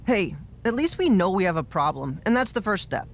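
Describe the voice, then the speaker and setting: A woman's voice: mid-range voice, big pitch swings, fairly quick, soft background music. A woman playing an easygoing veteran pro wrestler in a video game cutscene, wry and candid as she talks. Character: easygoing veteran female wrestler seeking a grudge match.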